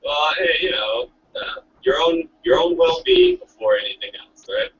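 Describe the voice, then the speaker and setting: A man's voice: a little high, wildly swooping pitch, normal speed, a bit bright. A man hosting a casual podcast chat over a call, laid-back and jokey, swearing casually. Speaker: podcast host. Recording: distant lo-fi call audio with faint hiss.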